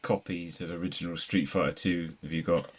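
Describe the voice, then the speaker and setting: A middle-aged man with a fairly low voice. He talks casually as a podcast host, wordy and meandering as he builds his point. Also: steady tone, brisk, husky. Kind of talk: casual film and game discussion.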